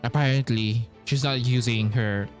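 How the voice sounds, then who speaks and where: A man's voice: mid-range voice, fairly even intonation, moderate pace. A man streaming a game, reading its text aloud and commenting, stumbling and repeating himself as he goes. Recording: faint game music underneath. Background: faint game music.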